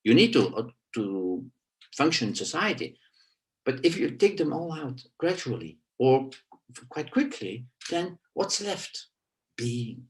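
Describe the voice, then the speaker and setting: A man in his sixties from Belgium, speaking English as an in reflective spoken teaching. He searches for words and his voice jumps up on key points. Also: unhurried. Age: 60s. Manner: animated, pitch leaps on emphasis, searching for words. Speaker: guest, nonduality teacher.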